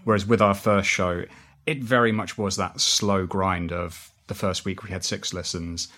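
A man's voice: low voice, steady tone, moderate pace, pushed and bright. A man being interviewed as a podcast writer, talking conversationally and acting out little quoted reactions as he explains.

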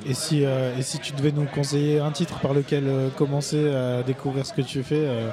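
A man's voice: mid-range voice, level tone, brisk. A man hosting a podcast, interviewing musicians in casual chat and laughing easily, at a folk club bar. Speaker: podcast host. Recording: live bar recording with crowd chatter. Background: crowd chatter.

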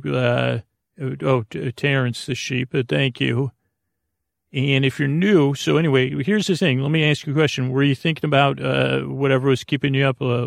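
Creaky-voiced man rambling soothingly. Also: mid-range voice, dry recording, clean studio sound. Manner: meandering, halting, stammering, trails into tangents; deliberately dull, soothing, lulling. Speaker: host of a bedtime-story podcast. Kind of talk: rambling sleep podcast monologue.

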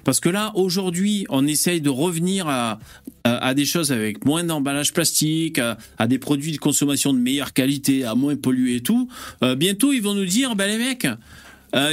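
A man's voice: on the high side, no echo. A man in his forties musing aloud as he hosts a live chat, with lots of fillers along the way. The sound is dry and close, with no room around the voice. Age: 40s. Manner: musing, conversational, filler-heavy. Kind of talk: casual chat.

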